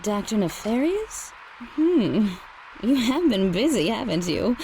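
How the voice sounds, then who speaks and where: A woman playing a sultry, scheming robot pop starlet in a video game, coy and flirtatious with a creaky voice. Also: fairly high pitch, very animated, slow, mellow, a little hiss or hum, exaggerated voice, poor audio. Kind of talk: video game cutscene acting.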